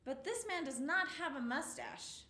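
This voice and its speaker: A woman in her thirties reading a picture book aloud to young children, with expressive exclamations, in a small studio. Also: high-pitched, moderate pace, echoey room. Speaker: storytime reader. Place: small indoor studio with a rainbow mural.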